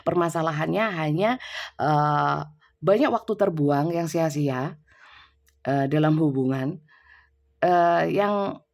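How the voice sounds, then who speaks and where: A woman's voice: low-pitched, slow, creaky, pauses often, darkish tone. A woman with a cold giving a casual tarot reading into her phone's built-in microphone. She talks loosely, with lots of fillers. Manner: chatty, many fillers and tag "ya". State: has a cold. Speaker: tarot reader. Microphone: phone's built-in mic, no external mic.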